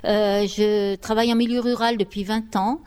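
A woman's voice: medium pitch, little pitch movement, normal speed, darkish tone, clean tone. A middle-aged woman from Paris, answering interview questions spontaneously and reflectively, often searching for her words. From Paris, France. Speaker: interview guest, children's songwriter.

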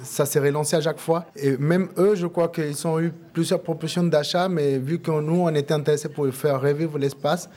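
Mellow man with an Argentine Spanish accent. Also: fairly high pitch, fairly even intonation, brisk, hardly any pauses. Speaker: interviewee, musician and café co-founder. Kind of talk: casual interview answers.

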